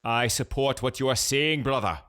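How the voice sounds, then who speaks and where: A man's voice: medium pitch, moderate pace, a bit bright, clean tone, pitched above the performer's own voice, natural voice. A man voicing a prankster who fakes a thick, cartoonish Pakistani accent, agreeing repetitively and with mock earnestness in an audiobook story. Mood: mock earnest. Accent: exaggerated Pakistani.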